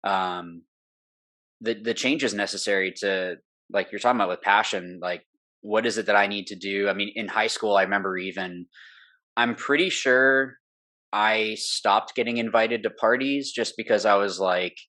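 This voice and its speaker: A man's voice: on the low side, moderate pace, pushed and bright. A young man, a guest on an interview, talking at length in a rambling, earnest way with many fillers, from a home studio room. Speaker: guest, pro paintball player. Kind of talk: casual interview talk.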